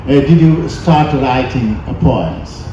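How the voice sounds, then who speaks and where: A man's voice: mid-range voice, takes it slowly, dark tone. A man moderating a panel, asking polite, formal questions. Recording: distant echoey hall, lo-fi with noise.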